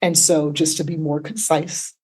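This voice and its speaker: A woman's voice: low voice, level tone, normal speed, dark tone, breathy edge. A woman therapist being interviewed, explaining points emphatically and often adding a tag question. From United States. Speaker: therapist guest.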